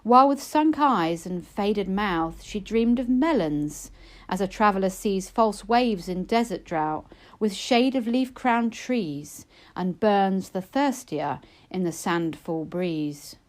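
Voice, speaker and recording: A woman reading narrative poetry aloud, with measured, expressive and dramatic phrasing. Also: medium pitch. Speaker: poetry reader.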